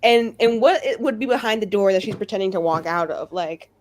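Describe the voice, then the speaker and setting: Woman playing a tabletop game, medium pitch. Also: animated, a little fast, darkish tone, slight background noise. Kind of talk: casual in-game chat.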